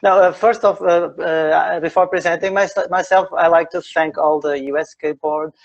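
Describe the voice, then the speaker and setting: A man's voice: on the high side, steady tone, normal speed, gravelly. Hesitant man with northern Portuguese accent. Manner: hesitant, many fillers and restarts. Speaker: guest, architect and drawing teacher. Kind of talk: casual interview. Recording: dull lo-fi sound.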